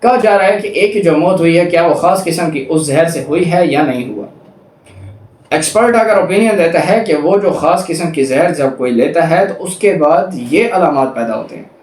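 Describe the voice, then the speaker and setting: Echoey Pakistani male law lecturer, higher-pitched. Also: animated, normal speed, a little mellow. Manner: didactic, repeats and rephrases points. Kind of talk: explanatory lecture, reading statutes aloud and explaining them. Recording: echoey room.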